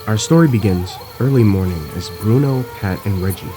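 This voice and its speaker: A man's voice: lowish voice, fairly quick, music in the background, natural voice. A man playing a solemn storyteller, narrating a scripted horror comedy audio drama. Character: solemn storyteller introducing monster hunter tales.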